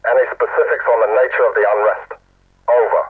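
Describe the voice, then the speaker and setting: A man's voice: medium pitch, steady tone, quick pace, no echo. Clipped male military radio operator, acted by a man, disciplined, thin phone-like band, dry and distant. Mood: urgent, businesslike. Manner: clipped, procedural. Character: terse, disciplined male National Guard soldiers on radio. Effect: military radio filter. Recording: narrow tinny radio transmission.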